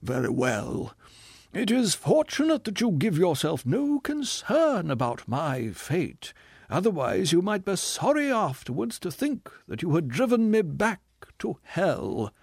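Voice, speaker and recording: A man voicing a bitter, self-pitying male ghost who declaims and shouts his lines in a drawn-out, theatrical way. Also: sits high, huge pitch swings, gravelly, breathy edge, no echo, studio quality, voice pushed up, exaggerated voice. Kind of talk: audiobook character reading.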